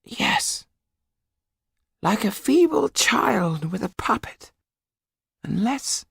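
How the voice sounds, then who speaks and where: Audiobook role: small, weary, urgent older fellow; croaky high voice, raspy edge, unhurried. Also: male voice, sing-song, fairly bright tone, no room sound, clean studio sound, heavily acted. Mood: weary, grim. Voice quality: high, croaky, husky squeak.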